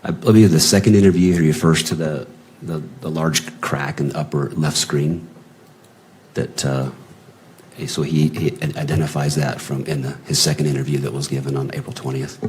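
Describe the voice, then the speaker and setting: American male police witness, extremely low voice, factual and halting, courtroom audio with faint noise. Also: little pitch movement, darkish tone. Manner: halting in long answers, brief factual replies. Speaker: law enforcement witness testifying. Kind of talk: courtroom testimony.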